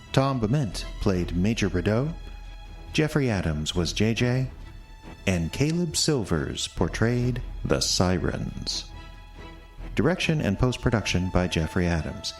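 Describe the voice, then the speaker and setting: Low-voiced man announcing credits. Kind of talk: scripted credits narration.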